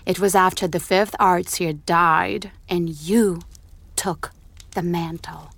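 An actress playing a bold, knowing enslaved woman in a fantasy audio drama, speaking in a measured, intimate way. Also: lowish voice, steady tone, vocal fry, fairly bright tone, breathy edge, no room sound, exaggerated voice. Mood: intimate, persuasive.

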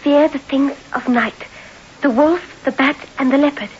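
A woman's voice: sits high, moderate pace, lightly acted. A woman playing an anxious, sympathetic, wary lady in an old radio drama. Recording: hissy, muffled 1960s radio recording.

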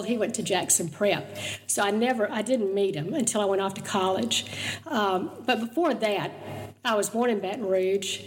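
Older woman, slightly raspy voice. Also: medium pitch, in a large hall. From Mississippi, US South. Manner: chatty, storytelling, laughs easily. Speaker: guest speaker sharing her testimony. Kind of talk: personal testimony talk.

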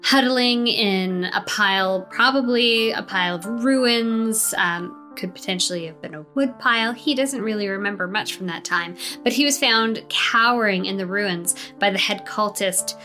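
A woman's voice: mid-range voice, sharp tone, faint music behind. A woman telling her character's backstory as a tabletop game player, hesitant with many fillers. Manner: unhurried, hesitant, many ums.

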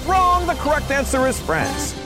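A woman playing a brisk quiz show host in an acted commercial. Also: high-pitched, sing-song, over loud music. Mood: brisk, emphatic.

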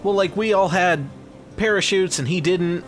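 A man's voice: high voice, normal speed, faint music behind, close to an everyday voice. An actor playing an anxious, hapless male contestant who speaks hesitantly. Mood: nervous. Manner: nervous, hesitant.

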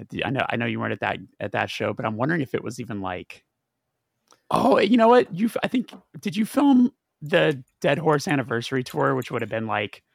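A man's voice: medium pitch, creaky, dry recording, studio quality. A man hosting a casual podcast, talking loosely with lots of fillers and restarts. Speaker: podcast host.

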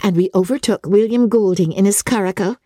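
A woman's voice: mid-range voice, a little fast, mellow, dry recording, clean studio sound, voice pushed up, natural voice. A woman voicing a giddy, vain young newlywed daughter who gushes and boasts in a dramatic reading of a classic novel. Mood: gleeful boasting. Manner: gushing, exclamatory, self-satisfied chatter.

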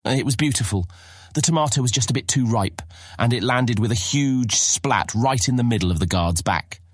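Fantasy audiobook role: cocky guildless teen boy, adult male actor, defiant swagger, husky edge, close dry sound. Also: medium pitch, normal speed, no echo, natural voice. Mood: boastful, gleeful. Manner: boastful, insolent. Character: cocky, defiant fifteen-year-old guildless boy, boastful storyteller. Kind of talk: full-cast fantasy audiobook acting.

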